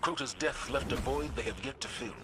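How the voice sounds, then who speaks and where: An actor playing a stern, resolute military commander in a video game, speaking in a measured, authoritative and grave way. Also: male voice, mid-range voice, background noise, natural voice. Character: stern, resolute male military commander rallying his troops. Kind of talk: video game voice acting, solemn military briefing.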